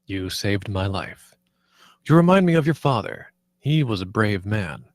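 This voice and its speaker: A man acts a pompous, nervous spaceship captain in a comedy script read. His lowish voice moves about animatedly and sounds close and dry, with an earnest comic air. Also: very animated, a little slow, no room sound.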